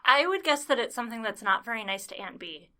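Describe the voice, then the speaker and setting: A woman guesting on a podcast, explaining her projects in casual chat with a measured, amused manner. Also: a little high, expressive, bright, forceful tone.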